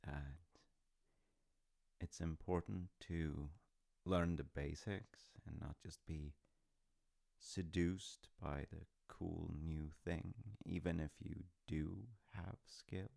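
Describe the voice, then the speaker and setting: A man acts out an easygoing middle-aged dad in an intimate audio roleplay. His voice is very deep, with a heavy gravelly creak. He takes his time, speaking slowly and wandering into wry, hesitant asides as he tells his tale. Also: fairly even intonation, a little mellow, exaggerated voice. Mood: reflective. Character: easygoing dad telling his grown child Norse myths. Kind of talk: intimate conversational storytelling roleplay.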